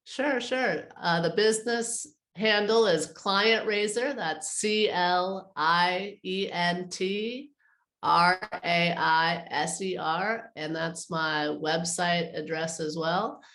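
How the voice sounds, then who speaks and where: Low-voiced woman in an echoey room. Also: takes it slowly, a bit bright. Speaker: interview guest, art advisor. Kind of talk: conversational interview answers.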